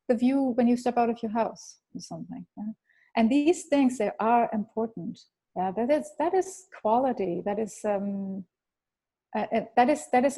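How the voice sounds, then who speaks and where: A woman's voice: mid-range voice, gravelly, warm tone, breathy edge. A woman, giving thoughtful, hesitant interview answers with many fillers and restarts. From Germany. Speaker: interviewee, architect.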